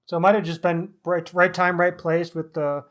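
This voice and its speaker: A man with a voice a little higher than average co-hosts a book talk. He is casual and conversational, musing aloud as he works through his thoughts. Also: little pitch movement, moderate pace, fairly bright tone. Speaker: podcast co-host reviewing crime novels.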